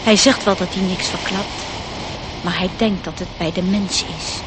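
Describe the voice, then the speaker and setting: An actress playing a wise, protective elf, earnest and gently admonishing, in a radio play. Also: female voice, on the low side, fairly even intonation, moderate pace, close to an everyday voice. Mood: sad, reproachful. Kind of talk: radio drama acting. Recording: noisy radio drama recording.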